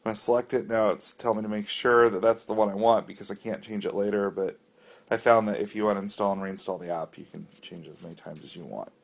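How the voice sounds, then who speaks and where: Casting: American male reviewer with a fairly low voice, walking through software off the cuff, plain-spoken and explanatory. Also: fairly even intonation, fast talker, creaky. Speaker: app reviewer giving a walkthrough. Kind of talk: casual unscripted tutorial narration.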